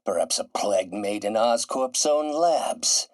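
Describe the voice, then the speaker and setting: A man's voice: fairly low pitch, expressive, a little slow, no room sound, studio quality. A man playing an older scientist turned bitter, vengeful villain in a video game, speaking in a theatrical, precise, erudite way. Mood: cold, scheming. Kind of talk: video game voice acting, dramatic isolated lines.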